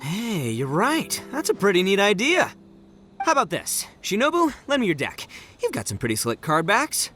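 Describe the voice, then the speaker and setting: Casting a brash, theatrical young gang leader with a bright, bouncy voice. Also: male voice, sits high, huge pitch swings, normal speed, soft background music, heavily acted. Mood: cocky. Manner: boastful, showy, theatrical. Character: brash cocky young male gang boss.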